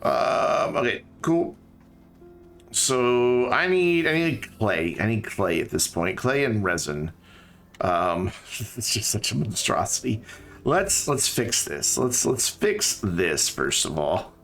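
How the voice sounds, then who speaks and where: A man's voice: on the low side, big pitch swings, takes it slowly, a bit bright, faint music behind. Tired man rambling over his game. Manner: rambling, thinking aloud, many fillers and restarts. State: tired, slightly scratchy throat. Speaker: streamer. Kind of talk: casual gameplay chat.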